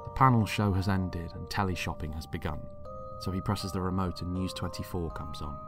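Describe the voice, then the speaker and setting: A man's voice: deep voice, brisk, over music. A man reading scripted dramatic narration in the present tense. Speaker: writer and narrator.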